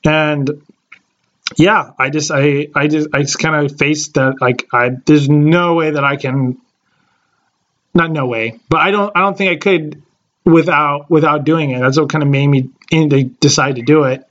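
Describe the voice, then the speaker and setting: A man's voice: on the high side, fairly even intonation, measured pace, a bit bright, highs cut off. A man in his late twenties, a podcast co-host chatting casually and rambling with many fillers and restarts. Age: late 20s. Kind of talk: casual chat.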